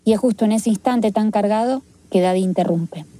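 A woman reporter telling a documentary story in a measured voice. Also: medium pitch, warm tone, a little hiss or hum. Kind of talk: scripted narration. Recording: narration over music.

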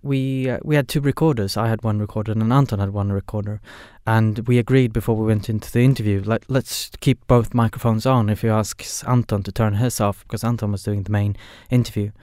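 A man's voice: lowish voice, fairly even intonation, brisk, few pauses, a little mellow, dry recording, clean studio sound. A man with a Swedish accent, a native Swedish speaker, recounting an investigation conversationally in an interview. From Sweden. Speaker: podcast host and investigator being interviewed.

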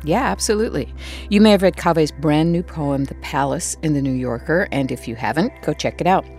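A woman's voice: sits low, fairly quick, darkish tone, soft background music. A woman co-hosting a podcast, chatting in a friendly way and introducing guests. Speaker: podcast co-host. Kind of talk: casual chat, introducing guests.

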